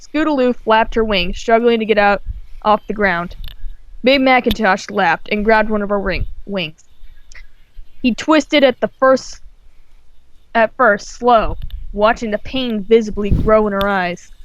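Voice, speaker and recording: A woman's voice: mid-range voice, dry recording. A woman storyteller reading aloud informally, with casual asides, on a slightly dull microphone. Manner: informal, breaks off to comment and swear. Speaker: storyteller, host.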